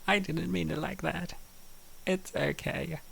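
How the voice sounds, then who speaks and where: Casting: man as teasing college-age female roommates, raised pitch, heavy vocal fry, comic dub banter. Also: steady tone, unhurried, a bit bright, heavily acted. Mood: bickering. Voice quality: raspy, creaky.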